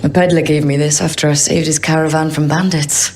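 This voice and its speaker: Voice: mid-range voice, little pitch movement, fairly quick, darkish tone, faint music behind, close to an everyday voice. A voice actor playing a young elf adventurer and former rogue who talks in a wry, sarcastic, joking way. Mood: reflective.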